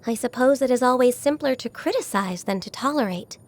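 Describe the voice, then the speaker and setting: A woman voicing a gentle, shy, dutiful young woman in a video game, soft-spoken, polite and earnest. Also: on the high side, expressive, brisk. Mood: solemn, devoted. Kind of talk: video game character voice lines.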